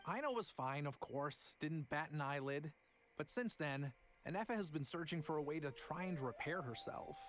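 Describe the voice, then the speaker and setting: A man's voice: fairly high pitch, animated, moderate pace, faint music behind, lightly acted. A man voicing an easygoing young traveller in a video game, explaining in a wry, conversational way.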